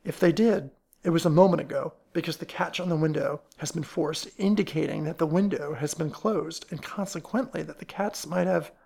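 Woman doing a sharp impatient detective guy, low and gravelly. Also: normal speed, full character voice. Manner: sharp, clipped questioning. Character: brisk, impatient male detective.

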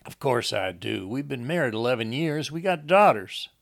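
Audiobook role: earnest married lawyer, indignant and firm, acted by a male reader, with some vocal fry. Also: medium pitch, animated, normal speed, no room sound, clean studio sound. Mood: firm, defensive.

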